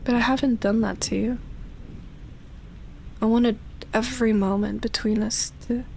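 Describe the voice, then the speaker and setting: Slow, breathy, yearning female vampire, acted by a woman. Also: on the high side, fairly even intonation, a little mellow, slight background noise. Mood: earnest, confiding. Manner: slow, hesitant, sighing delivery with long pauses. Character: ancient lonely female vampire, tender, yearning, seductive. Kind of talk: intimate ASMR roleplay audio drama.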